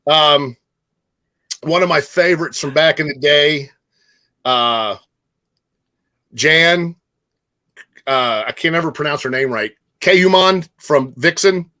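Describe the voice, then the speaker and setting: A man co-hosting a casual chat show, speaking informally in a halting but emphatic way. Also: on the high side, pushed and bright. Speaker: show co-host.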